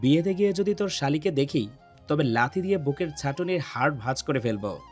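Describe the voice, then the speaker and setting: Gruff young male lead for a romance audio drama, acted by a man, deep and heavy-voiced, lovesick and domineering, music underneath. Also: lively intonation, fairly quick, darkish tone, close to an everyday voice. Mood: threatening.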